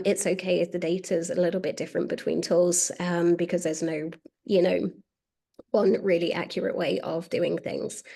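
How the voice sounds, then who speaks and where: A woman's voice: fairly low pitch, darkish tone. A woman hosting a webinar as a marketing director, talking casually with lots of "um"s. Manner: chatty, many fillers. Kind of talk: presenting a webinar.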